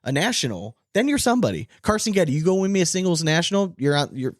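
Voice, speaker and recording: A man's voice: a little high, big pitch swings, fast, no room sound, clean studio sound. A man co-hosting a casual sports podcast, talking in an emphatic, opinionated way and swearing casually. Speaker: podcast co-host.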